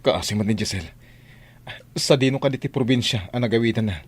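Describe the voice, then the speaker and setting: A man's voice: mid-range voice, brisk, slightly rough, lightly acted. A man playing an impatient, determined young wealthy employer in a radio drama, with an Ilocano accent. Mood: urgent questioning.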